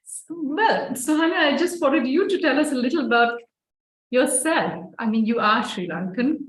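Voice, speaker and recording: A woman with a high voice leads an interview, asking questions and offering frequent encouraging affirmations. Her voice echoes around a roomy space. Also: expressive, normal speed. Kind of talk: interview conversation. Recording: roomy echoing sound.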